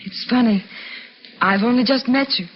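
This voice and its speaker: An actress playing a sweet wife who is secretly shrewd, in 1950s radio drama style. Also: female voice, a little high, moderate pace, lightly acted. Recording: muffled, hissy vintage radio recording.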